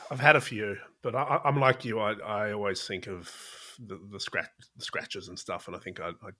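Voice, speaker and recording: A man's voice: fairly low pitch, fairly even intonation, normal speed, pushed and bright. A man hosting a podcast, interviewing in a casual, conversational way. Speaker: podcast host.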